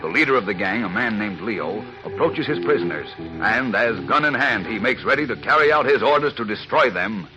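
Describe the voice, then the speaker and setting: A man's voice: fairly low pitch, expressive, brisk, hardly any pauses. A man narrating a 1940s radio serial as its announcer, in a dramatic, emphatic style. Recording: muffled old radio recording. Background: music.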